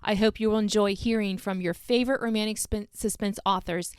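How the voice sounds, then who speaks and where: A woman's voice: medium pitch, steady tone, brisk, clear, resonant tone, dry recording, clean studio sound. A woman hosting a podcast, introducing guests and interviewing them. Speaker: podcast host.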